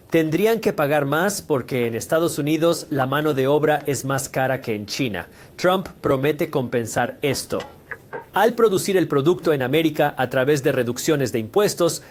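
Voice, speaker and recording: A man's voice: a little high, darkish tone. A man giving a methodical finance lesson, walking through tax brackets and figures step by step. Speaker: finance educator.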